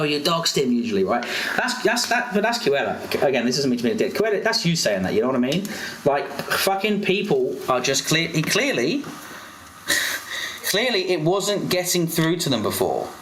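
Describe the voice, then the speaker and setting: Excitable male streamer with faint background noise. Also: medium pitch, moderate pace. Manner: excitable, repeats words and phrases. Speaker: livestream host. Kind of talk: casual livestream chat.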